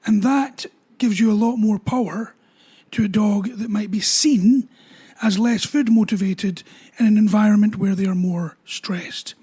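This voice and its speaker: A man, a dog trainer explaining training ideas in a relaxed talk with everyday examples. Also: high-pitched, measured pace. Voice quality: husky voice.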